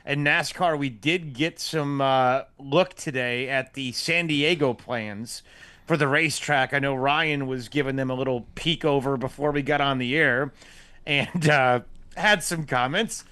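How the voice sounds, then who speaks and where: A man's voice: mid-range voice, measured pace, sharp tone, no room sound. A man hosting a sports radio talk show, chatting with guests in upbeat, joking banter.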